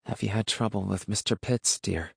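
A man voicing a motherly country wife in an audiobook, speaking in a soft, tender, worried manner. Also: fairly low pitch, measured pace, husky, no room sound, clean studio sound, pitched above the performer's own voice. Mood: concerned. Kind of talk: audiobook character dialogue.

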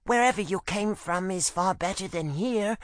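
A man voicing tiny, high-voiced fantasy creatures with a small, slightly nasal, husky sound, bickering and friendly in an audiobook. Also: moderate pace, no room sound, studio quality, natural voice. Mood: teasing, wry.

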